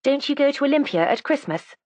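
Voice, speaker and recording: A woman's voice: sits high, lively intonation, quick pace, a little mellow, no echo, pitched above the performer's own voice. A woman doing a prim, chatty schoolgirl. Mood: earnest. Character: precocious, matter-of-fact teenage schoolgirl.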